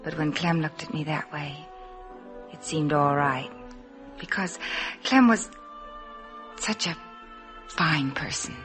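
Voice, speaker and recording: An actress playing a shy, earnest young working girl in love, in halting, intimate 1950s radio drama style. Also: low-pitched. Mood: wistful, hesitant. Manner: soft-spoken, halting, wistful. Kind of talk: 1950s radio drama acting, intimate first-person narration. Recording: 1950s radio broadcast, music behind.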